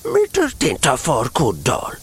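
A man voicing a kindly, simple storybook bear who speaks in an earnest, naive, reassuring way with a high, creaky voice. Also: wildly swooping pitch, exaggerated voice. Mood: surprised, frank.

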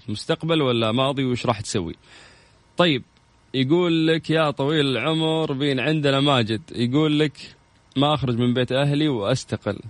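A man's voice: mid-range voice, dry recording. A man with a Saudi accent hosting a radio show in a casual, chatty style, talking straight to listeners and dropping in English words. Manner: informal, addresses listeners directly, drops in English words.

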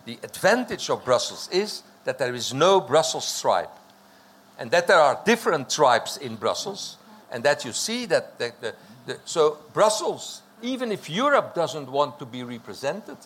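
Emphatic man, slightly high-pitched. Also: sing-song, a little slow, fairly bright tone, husky. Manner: emphatic, declarative, repeats phrases for emphasis. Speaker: panel speaker. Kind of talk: argumentative discussion.

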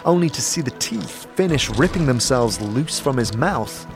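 A man's voice: mid-range voice, expressive, moderate pace. A man delivering scripted second-person narration for a fantasy audio drama. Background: music.

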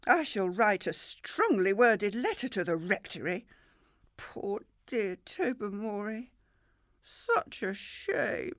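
A woman's voice: mid-range voice, very animated, slow pace, a bit bright, no room sound, studio quality, exaggerated voice. A woman playing a genteel, indignant English upper-class hostess in an audio play, mannered and emphatic. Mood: grave, regretful.

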